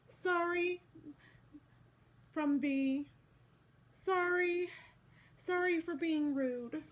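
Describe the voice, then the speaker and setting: A woman's voice: extremely high pitch, extremely slow, pitched above the performer's own voice, heavily acted. A woman voicing a naive, wounded male pig in a children's storybook, in a plaintive, bewildered way. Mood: shy, sorry. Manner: plaintive, questioning. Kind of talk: children's storybook read-aloud.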